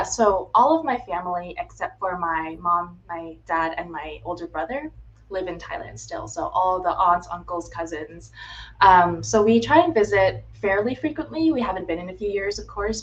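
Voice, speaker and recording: A woman's voice: lowish voice, animated, normal speed, lo-fi sound. Chatty young woman on a video call. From United States (Florida). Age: 20s. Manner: chatty, frequent "um" and "like", laughs easily. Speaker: guest. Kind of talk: casual interview chat. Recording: faint background noise.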